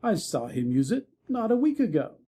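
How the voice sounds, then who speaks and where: A man playing a frank, worldly young Victorian gentleman in a dramatic audiobook, speaking in measured, formal phrases. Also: sits high, sing-song, husky, heavily acted. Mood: slightly sullen.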